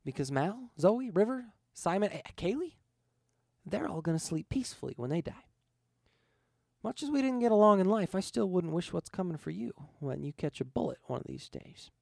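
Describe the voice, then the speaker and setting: Guy doing a dead pilot's ghost for an audiobook, earnest n ominous, pleading, lively higher voice, bit creaky. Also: normal speed, dry recording, clean studio sound, exaggerated voice.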